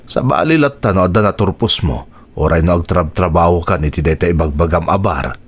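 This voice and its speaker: A man's voice: deep voice, a little fast, slightly rough. A man playing an earnest, encouraging father who supports his child in a radio drama. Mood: supportive, earnest. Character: supportive father who once dreamed of music himself. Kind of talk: Ilocano radio drama acting.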